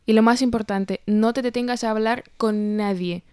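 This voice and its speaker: A woman playing a caring, cautious mother in an audio fairy tale, gentle but firm and solemn. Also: a little high, fairly even intonation, moderate pace, clean tone, no room sound, clean studio sound, close to an everyday voice. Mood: grave, caring. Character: caring, cautious mother warning her daughter.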